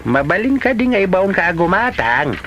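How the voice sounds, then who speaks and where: A man's voice: high voice, very animated, fairly quick, a bit bright, muffled recording, soft background music. A man acting a mild, homely village father in an Ilocano comedy drama. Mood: homely, requesting.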